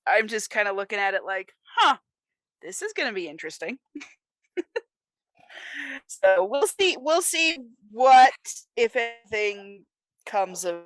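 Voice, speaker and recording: A woman's voice: mid-range voice, sing-song, measured pace, long pauses, fairly bright tone, no room sound. An American woman co-hosting a podcast in casual chat, with folksy turns of phrase. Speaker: podcast co-host.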